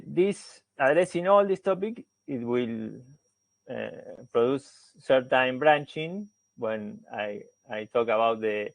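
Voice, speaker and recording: Argentine guy giving a science talk, slow and gravelly, lots of uhs, dry sound. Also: mid-range voice, no room sound, rough recording. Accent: Argentine Spanish. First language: Spanish. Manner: hesitant, many fillers and restarts. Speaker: researcher giving a seminar talk. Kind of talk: academic presentation.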